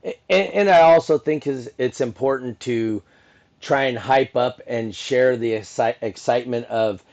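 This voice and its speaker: A man's voice: mid-range voice, normal speed, creaky, a little mellow, muffled recording. Rambling middle-aged man hosting a podcast. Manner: rambling, full of restarts and "you know". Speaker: podcast host and event announcer. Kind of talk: casual podcast conversation.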